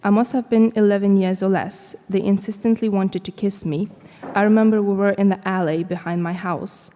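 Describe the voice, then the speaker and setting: A woman, a student, reading a first-person prose text aloud to an audience at a steady, measured pace, recorded in a lecture hall. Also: mid-range voice.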